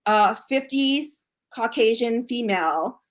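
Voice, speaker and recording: An American actress talking casually as an interview guest, chatty and full of restarts and fillers. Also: female voice, a little high, expressive, slow. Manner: chatty, frequent fillers and restarts, laughs often.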